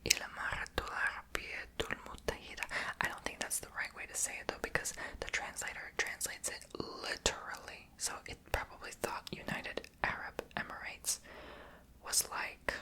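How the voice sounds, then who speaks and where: A woman's voice: moderate pace, pushed and bright, low-quality recording. A woman whispering in an ASMR video, chatty and rambling, who says she is tongue-tied and makes her r in her throat. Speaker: ASMR creator.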